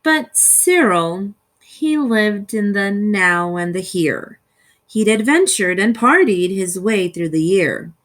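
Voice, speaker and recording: A woman reading a rhyming children's story aloud in an expressive, theatrical way with deliberate phrasing. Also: fairly high pitch. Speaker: storybook reader.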